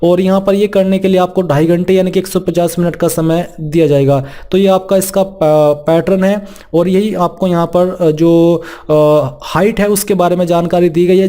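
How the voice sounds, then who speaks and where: Man, high voice, soft dark tone, explanatory, instructional walkthrough. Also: fairly even intonation, normal speed. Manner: explanatory, reads out formal text then restates it plainly. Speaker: presenter of a job vacancy explainer. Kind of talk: informal instructional walkthrough of an official notice.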